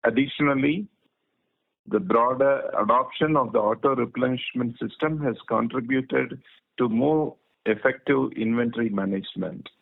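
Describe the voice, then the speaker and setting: Casting: Indian male executive, mid-pitched gravelly voice, measured formal delivery of prepared remarks, telephone sound. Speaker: company managing director. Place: conference phone call. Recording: thin, tinny phone line.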